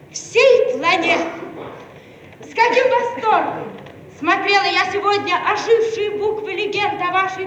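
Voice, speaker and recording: A solemn woman messenger from a radiant communist future. Her voice is very high and she declaims slowly, like an orator in a Soviet radio play. The archival recording is echoey. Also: fairly bright tone, a little hiss or hum, full character voice. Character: phosphorescent woman, exalted envoy from the communist future.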